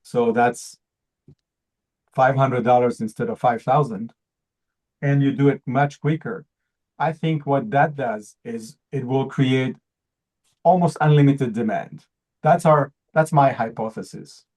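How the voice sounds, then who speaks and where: A man whose first language is Hebrew pitches a project to an audience in an earnest way. His voice sits in a middle pitch with a mellow, darker timbre. He often repeats a word or restarts a phrase mid-sentence. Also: unhurried, pauses often. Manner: earnest, repeats words while searching for phrasing. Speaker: presenter.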